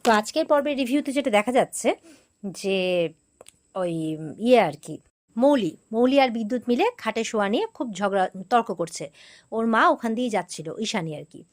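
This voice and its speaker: A woman's voice: on the high side, expressive, moderate pace. A woman hosting an informal, chatty serial recap, retelling scenes and quoting the dialogue as she goes. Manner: chatty, with frequent quoted back-and-forth. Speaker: host of a TV serial recap channel.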